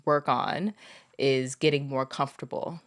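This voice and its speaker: A woman's voice: rumbling low, steady tone, a little slow. A woman co-hosting a podcast, candidly telling stories in casual conversation. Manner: candid, eager storytelling. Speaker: podcast co-host.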